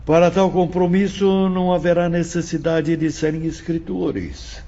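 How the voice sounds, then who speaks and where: A man playing a solemn, patient spiritual teacher who lectures his pupils in a measured, grand manner for an audio drama. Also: a little high, dark tone. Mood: kindly instructive. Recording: dull lo-fi audio, faint music behind.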